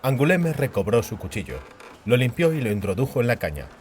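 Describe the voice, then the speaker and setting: A man's voice: medium pitch, sing-song, a little fast, a little mellow, slight background noise. A man narrating fantasy fiction as a dramatic, scripted audiobook. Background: background music.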